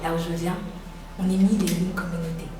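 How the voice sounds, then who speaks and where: Teen girl, rhythmic emphatic slam delivery, mid-pitched voice, distant in a very echoey hall. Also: level tone, fast. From Burundi. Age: teens. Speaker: high school pupil performing slam. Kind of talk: slam poetry performance. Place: large hall. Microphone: far from the microphone. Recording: echoey hall from far off, background ambience.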